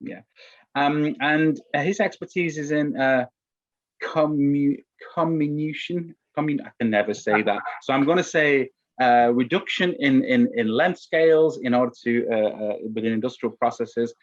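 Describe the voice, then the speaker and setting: A man of ordinary pitch chairs an online workshop and introduces speakers. He is polite and a little hesitant, often stumbling and restarting. The sound comes over a dull, lo-fi video call. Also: normal speed. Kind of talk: moderating an online Q&A.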